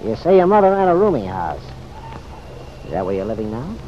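Through a muffled, noisy old radio recording comes a wry American police detective. His pitch moves freely as he needles and probes in easygoing, slangy radio drama style. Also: sing-song, brisk. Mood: wry, unhurried.

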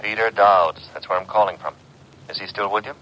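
An actor playing a brisk, wisecracking detective's legman, casual and wry in a classic radio drama. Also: fairly low pitch, bright, forceful tone, rough recording. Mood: urgent, wry.

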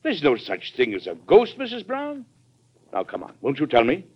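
Earnest American president, widely swinging voice. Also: male voice, on the high side, huge pitch swings, slightly rough, exaggerated voice. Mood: gentle, coaxing. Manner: measured, paternal, persuasive. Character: earnest, fatherly American president, firm but weary. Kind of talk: vintage radio drama acting. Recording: muffled vintage radio recording.

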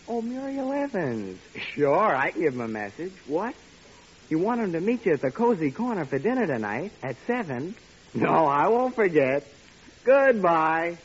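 A man's voice: on the high side, big pitch swings, normal speed. On a muffled, faintly hissy old radio recording, an ingratiating nuisance. His slightly raised voice bounces around with breezy, pushy cheer. The style is 1940s radio comedy. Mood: breezy, sly. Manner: chirpy, ingratiating, presumptuous. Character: breezy, pushy, falsely chummy male pest.

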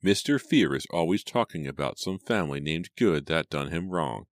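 A man's voice: sits low, animated, moderate pace, no echo, studio quality. A man voicing a fretful, scolding old female housekeeper with a bossy, folksy manner in a storybook. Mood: scolding, warning.

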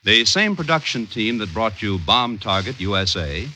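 Male American vintage radio announcer, deepish yet piercingly bright, formal and emphatic, scripted read. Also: very animated, normal speed, a little hiss or hum.

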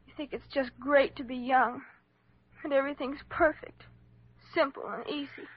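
A woman's voice: sits high, fairly even intonation, moderate pace, dry recording, natural voice. An American actress playing a despairing, troubled seventeen-year-old girl, plaintive and defensive, in classic radio melodrama style. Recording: muffled, hissy old radio recording.

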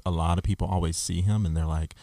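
A man's voice: deep voice, steady tone, fast talker, warm tone, no room sound, studio quality. A man hosting a podcast discussion, talking reflectively in long explanatory runs. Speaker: podcast host. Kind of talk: casual discussion.

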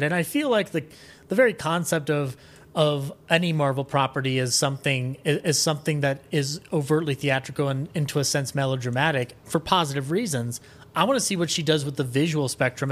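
A man with a slightly high voice chats as a podcast guest. He is enthusiastic and opinionated, rambling through his ideas in an animated, casual way. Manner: animated, rambling, opinionated, acts out imagined quotes.

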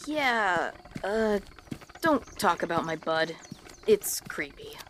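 A woman's voice: fairly low pitch, animated, takes it slowly, a bit bright, slight background noise. A woman voicing a small, earnest, easily offended plant creature in a fantasy comedy audio drama. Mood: defensive. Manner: defensive, earnest.

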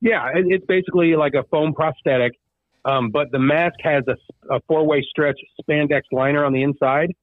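A man's voice: a little high, moderate pace, no echo. A man interviewed as a guest over the phone, answering in a rambling way full of ums and restarts. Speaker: guest, haunted attraction owner. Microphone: phone call. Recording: tinny phone line.

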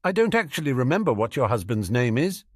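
A man's voice: medium pitch, sing-song, a little fast, slightly rough, no echo, studio quality. Man playing a flippant, carefree wizard. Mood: wry, breezy.

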